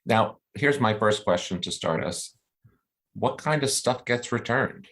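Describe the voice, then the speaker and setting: A man's voice: lowish voice, lively intonation, a little slow, a bit bright. A man hosting an online call, chatting casually in a plainspoken, helpful way. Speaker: host. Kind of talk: casual chat.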